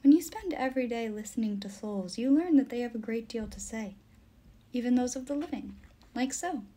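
A woman voicing an ancient river nymph in an audiobook, soft-spoken, formal and measured, with a mysterious, patient sorrow. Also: on the high side, voice pushed up, natural voice. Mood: calm, knowing. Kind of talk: audiobook character dialogue.

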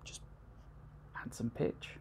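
A man's voice: slow pace, darkish tone, slight background noise. A man presenting a music production tutorial in a casual, step-by-step way. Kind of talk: casual screen-recorded software tutorial.

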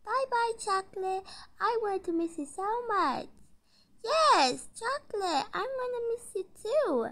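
A woman's voice: extremely high pitch, sing-song, unhurried, fairly bright tone, voice pushed up, full character voice. A woman voicing an eager, whiny little girl in a family roleplay story. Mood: sad, sweet.

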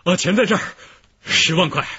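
An actor playing a meek, frightened husband in a radio crime drama, husky, stammering and ingratiating. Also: male voice, high voice, animated, normal speed, pushed and bright, lo-fi sound, exaggerated voice. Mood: nervous, cowed. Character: frightened, meek male ransom-paying husband, placating.

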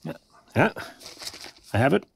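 A male actor playing a friendly, talkative retired man in an audio drama, an older Irish voice, husky and gravelly, with old-fashioned turns of phrase. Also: unhurried, a little hiss or hum, natural voice. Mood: apologetic, polite. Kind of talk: naturalistic audio drama acting.

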